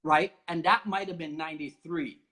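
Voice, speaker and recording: A man from Barbados hosting a casual conversation, talking in an animated, chatty way and laughing often. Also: on the high side, moderate pace. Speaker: host.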